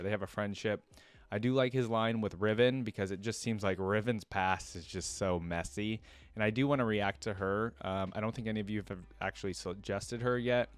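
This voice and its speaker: Male reaction host, casual gaming commentary, fairly low register, very dry recording with no room sound. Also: clear, resonant tone, dry recording. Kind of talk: casual commentary on video game voice lines.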